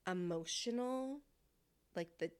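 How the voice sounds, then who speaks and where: A woman in her thirties hosting a podcast in a casual, expressive, informal conversational way. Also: fairly low pitch, slow, clear, resonant tone. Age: 30s. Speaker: podcast host.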